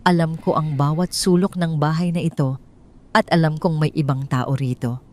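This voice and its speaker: A woman telling a first-person drama story in a hushed, tense, suspenseful way. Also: low-pitched, fairly even intonation, moderate pace. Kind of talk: first-person scripted narration.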